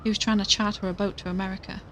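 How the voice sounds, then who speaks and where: An actress playing a worried, determined young podcaster in an audio drama, earnest and conversational. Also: female voice, medium pitch, level tone, racing, a bit bright, a little hiss or hum, close to an everyday voice. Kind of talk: audio drama dialogue and recorded narration.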